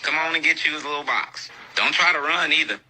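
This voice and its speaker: A man's voice: a little high, brisk, bright, forceful tone, husky, poor audio. An American man teasing and taunting someone in colloquial banter, repeating himself for effect. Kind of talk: mocking, teasing street-level banter.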